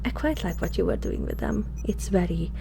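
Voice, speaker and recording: A woman playing a curious, flirtatious alien experimenter in an intimate ASMR roleplay, speaking haltingly in a soft, breathy murmur that often slips into whispers. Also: mid-range voice, lively intonation, noisy background. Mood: soothing, coaxing. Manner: hesitant, halting, softly spoken, often slipping into whispers.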